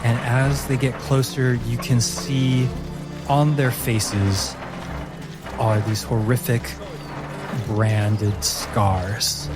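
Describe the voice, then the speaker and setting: A man working as game master, narrating a fantasy tabletop game in a dramatic, deliberate style with suspenseful phrasing. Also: mid-range voice, flat delivery, over loud music.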